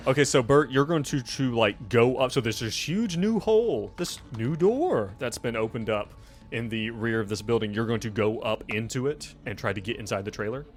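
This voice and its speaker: A man's voice: medium pitch, lively intonation, moderate pace. A man narrating a tabletop role-playing game as game master, describing things informally and addressing the player directly. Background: music.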